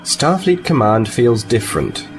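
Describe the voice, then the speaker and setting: A man's voice: mid-range voice, sing-song, measured pace, faint music behind. Composed male starship officer played by an actor. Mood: firm, diplomatic. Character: seasoned male Starfleet officer, patient and matter-of-fact. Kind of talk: audio drama acting.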